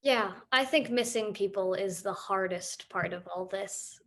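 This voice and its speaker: A woman's voice: medium pitch, unhurried, close to an everyday voice. A woman playing an earnest student who leads a casual discussion in a documentary play. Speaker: student interviewer.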